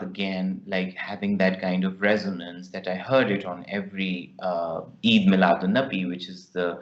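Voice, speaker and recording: A man's voice: sits low, roomy sound, highs cut off. A man with a Pakistani English accent, a film director answering at a festival Q&A in a reflective, rambling way full of fillers. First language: Punjabi. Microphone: video call.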